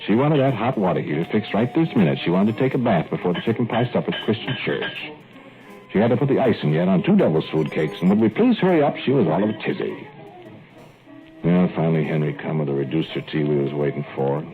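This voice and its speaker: An actor playing a young, easygoing small-town plumber who also narrates, in a folksy drawl, 1940s radio drama style. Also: male voice, low voice, animated, fast. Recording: tinny old radio broadcast with faint music.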